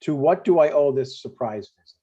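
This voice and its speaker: A man's voice: a little high, lively intonation, a little fast, mellow, breathy edge. An older man playing a blunt, stubborn but affectionate father in a comedy table read, over dull stream audio. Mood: casual, stubborn. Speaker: amateur actor.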